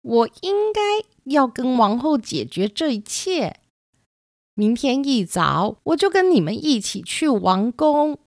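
A woman voicing a brooding dark witch, hurt but reasonable, with a measured, resigned manner in a children's radio play. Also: on the high side, sing-song, no echo, studio quality, pitched above the performer's own voice, exaggerated voice. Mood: thoughtful.

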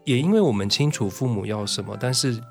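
A man's voice: medium pitch, animated, fast, vocal fry, soft background music. A man with a Taiwanese accent talking reflectively and frankly as an interview guest, explaining his thoughts point by point.

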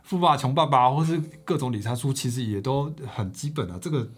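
A man's voice: medium pitch, lively intonation, fast, slightly rough. A man with a Taiwanese accent chatting as a podcast co-host, explaining things talkatively with examples. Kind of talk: casual discussion of personal finance.

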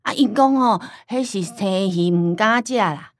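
Woman playing old Taiwanese granny, forgetful, stubborn, nostalgic, mid-pitched, radio play, dry studio sound. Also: unhurried, no room sound, natural voice. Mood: animated, chatty. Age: older. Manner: rambling, folksy storytelling with sighing interjections. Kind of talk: radio drama acting in Taiwanese Hokkien.

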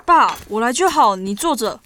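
A woman's voice: a little high, lively intonation, moderate pace, fairly bright tone, slight background noise. A woman playing an anxious, sincere young daughter in an audio drama, with a Taiwanese Mandarin accent and a pleading manner. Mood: gentle. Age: young adult. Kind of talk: audio drama acting with reflective narration.

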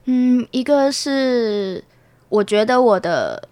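A woman's voice: on the high side, lively intonation, slow, darkish tone, no echo. A young woman with a Taiwanese Mandarin accent giving casual, reflective answers as a student interviewee. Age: young adult.

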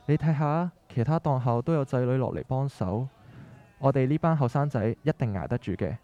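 An earnest young son in a radio drama, acted by a man, speaks Hong Kong Cantonese with a mellow, relaxed tone. He is determined and persuasive, pitched at an ordinary male height. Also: fairly quick, dull audio, natural voice. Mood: determined, reassuring. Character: earnest, determined young adult son of fish-market family. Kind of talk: scripted radio drama dialogue.

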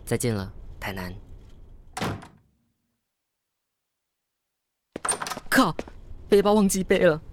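A man's voice: on the high side, sing-song, moderate pace, slight background noise. Wry, lovesick young Taiwanese man. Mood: exasperated, self-mocking. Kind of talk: radio drama acting with inner monologue.

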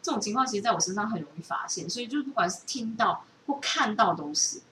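A woman's voice: mid-range voice, animated, fast talker, fairly bright tone. A middle-aged woman with a Taiwanese Mandarin accent chatting casually and candidly as a podcast co-host. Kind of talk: casual chat. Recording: noisy, distant from the microphone.

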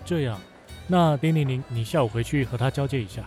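A man's voice: mid-range voice, fairly quick, a little mellow, soft background music, natural voice. A man as a curt, cool company boss. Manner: curt and dry. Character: cool, spiteful young male company boss. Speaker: voice actor in an audio drama. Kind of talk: modern audio drama acting.

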